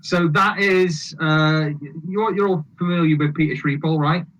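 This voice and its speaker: A man's voice: a little high, steady tone, unhurried, fairly bright tone, rough recording. A man with a mixed Welsh and Scouse accent, a guest chatting casually on a podcast and laughing at himself. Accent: Welsh and Scouse mix. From England, between Liverpool and Manchester. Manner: chatty, self-deprecating, laughs often. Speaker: guest, model aircraft video maker.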